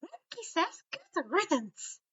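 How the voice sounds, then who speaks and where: A woman's voice: very high voice, big pitch swings, slow, bright, forceful tone, no echo, dull audio, voice pushed up, exaggerated voice. A woman voicing a bossy, sarcastic female house elf servant who speaks in broken third-person grammar for a story. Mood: scolding.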